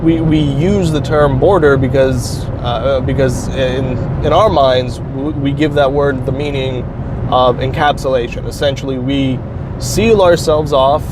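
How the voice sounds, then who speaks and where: A man from Turkey hosting his own channel, talking through an idea in a slow, reflective monologue with frequent pauses and restarts. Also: mid-range voice, fairly even intonation. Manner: halting, thoughtful, frequent restarts. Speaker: channel host. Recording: clear traffic noise outdoors.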